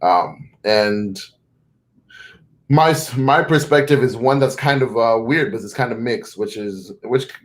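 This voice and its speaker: A man's voice: mid-range voice, a little slow. A young man with a North American accent, born in Zimbabwe and raised in North America, answering interview questions thoughtfully, often restarting and correcting himself. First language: not English. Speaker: guest. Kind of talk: conversational interview.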